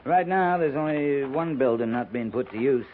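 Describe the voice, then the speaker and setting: A man's voice: mid-range voice, moderate pace, a little hiss or hum, close to an everyday voice. Man acting a dry American cavalry captain, tinny radio. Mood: polite, sly. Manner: dry, understated, courteous but needling. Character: calm, wry army cavalry captain. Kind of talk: 1950s radio drama acting. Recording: tinny, narrow-band old radio recording.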